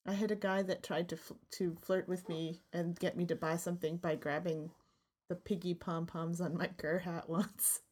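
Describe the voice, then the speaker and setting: A woman's voice: lowish voice, level tone, normal speed, darkish tone. A woman co-hosting a podcast, telling chatty stories in casual conversation and laughing often. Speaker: podcast co-host. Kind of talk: casual chat.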